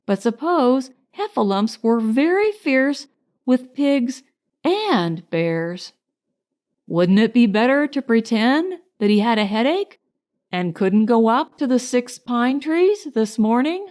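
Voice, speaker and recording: A woman narrating a children's storybook in a measured, expressive storytelling manner. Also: sits high. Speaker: audiobook narrator.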